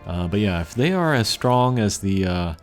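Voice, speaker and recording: A man giving casual, unscripted gameplay commentary as a channel host, thinking aloud in a measured way. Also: on the low side, very animated. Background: game music.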